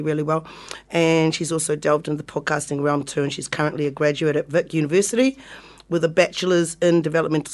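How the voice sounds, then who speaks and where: Relaxed, chatty New woman hosting. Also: sits low. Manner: relaxed, chatty, laughs easily. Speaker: radio podcast host. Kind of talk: casual chat. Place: radio studio.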